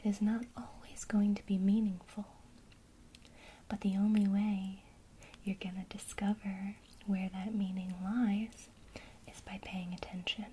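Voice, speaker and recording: A woman whispering an ASMR witchcraft lesson, gently encouraging a listener she addresses as her student. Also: medium pitch, level tone, slight background noise, low-quality recording. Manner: speaks to the listener as a student, pausing for imagined replies.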